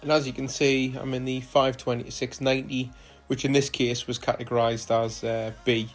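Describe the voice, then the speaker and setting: A man narrating footage of his own rides as a cycling vlogger, relaxed and hesitant, with frequent fillers. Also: mid-range voice, moderate pace, soft background music. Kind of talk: casual voice-over narration of ride footage.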